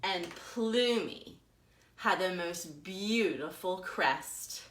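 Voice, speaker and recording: A bright-voiced American woman tells a story for preschoolers in a roomy, echoing space. Her pace is slow and deliberate, and she leans on words for dramatic emphasis as a children's librarian would. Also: fairly low pitch, expressive. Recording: roomy echo.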